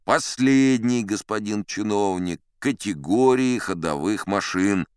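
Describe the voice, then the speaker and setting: A man's voice: lowish voice, lively intonation, slow, darkish tone, smooth, no echo, clean studio sound. A man playing a wily, dignified sea captain in an audiobook, bluffing boldly in a pompous, declamatory manner. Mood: bold, official.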